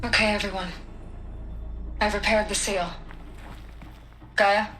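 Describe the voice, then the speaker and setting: An actress playing a selfless woman scientist in a video game, gentle, resigned and steadfast, speaking a little haltingly. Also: mid-range voice, little pitch movement, roomy sound, faint music behind, rough recording. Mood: resolute, calm. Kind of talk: video game dramatic acting.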